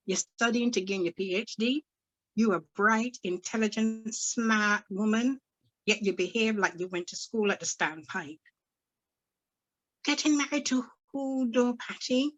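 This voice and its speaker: A woman voicing a hot-tempered older Barbadian mother with a Barbadian accent, firing rapid, exasperated questions full of old-fashioned sayings. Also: on the high side, dry recording, lo-fi sound, close to an everyday voice. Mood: scolding.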